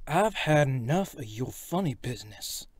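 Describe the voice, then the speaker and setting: A man playing a stern, fed-up high school teacher in an audio drama, scolding and commanding. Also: sing-song, normal speed, husky, no room sound.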